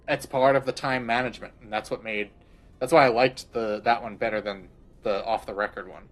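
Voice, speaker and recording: A man's voice: fairly low pitch, fairly even intonation, a little fast, faint music behind. A man streaming a game, rambling crudely in casual commentary and laughing often.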